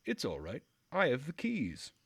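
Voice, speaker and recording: A man's voice: medium pitch, wildly swooping pitch, a little slow, vocal fry, husky, no room sound, pitched above the performer's own voice, exaggerated voice. A man voicing a friendly, polite young woman who works as a camp leader's aide in a visual novel. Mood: friendly, helpful. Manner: polite, caring, chatty.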